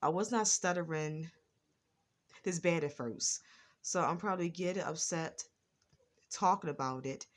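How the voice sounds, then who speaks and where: A woman's voice: fairly low pitch, unhurried, long pauses. A woman vlogger with a stutter, telling a personal story and circling back over the same phrases again and again. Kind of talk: personal testimony vlog.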